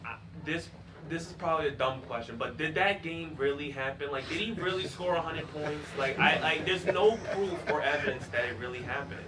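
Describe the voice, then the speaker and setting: A man's voice: a little high, a little fast. A man asking a hesitant, filler-heavy question from the audience. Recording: distant mic in a noisy crowd.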